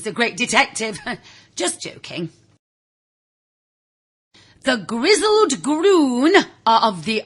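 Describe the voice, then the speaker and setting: Husky, highly animated woman storyteller. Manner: theatrical, confiding, addresses the listener directly. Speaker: audiobook reader. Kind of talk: animated scripted children's story narration.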